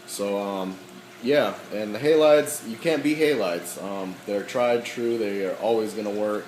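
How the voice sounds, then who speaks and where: A man walking through his aquarium equipment in a casual, halting way, with many ums and frequent throat clearing, his voice slightly hoarse. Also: lowish voice, a little mellow, echoey room, slight background noise, low-quality recording. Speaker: aquarium hobbyist.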